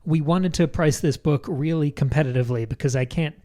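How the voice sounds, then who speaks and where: A man's voice: on the high side, a little fast, warm tone. A man co-hosting a podcast in casual chat, joking and informal. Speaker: podcast co-host.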